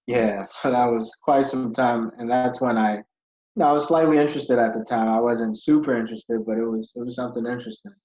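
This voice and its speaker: A man's voice: mid-range voice, fairly even intonation, brisk, a little mellow. A young man from Ghana, a podcast guest who tells his story in a rambling, casual way with many fillers. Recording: dull lo-fi audio.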